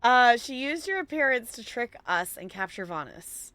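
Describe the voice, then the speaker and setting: A woman's voice: fairly high pitch, very animated, moderate pace, pushed and bright, dry recording. A playful, chatty woman giving casual live gameplay commentary as a streamer. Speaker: game streamer.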